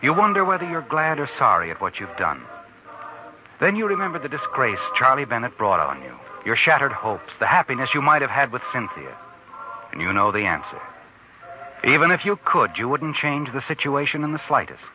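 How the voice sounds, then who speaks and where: An actor playing a sinister, taunting, all-knowing narrator in a 1940s radio suspense drama, insinuating and dramatic, on a muffled old recording. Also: male voice, medium pitch, very animated, brisk, natural voice. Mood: taunting, ominous.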